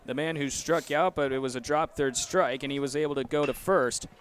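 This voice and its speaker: A young man with an American accent calls a baseball game live. His voice sits somewhat high. He speaks into a headset mic as a play-by-play announcer. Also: steady tone, fairly quick, clean tone. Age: young adult. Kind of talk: live sports commentary.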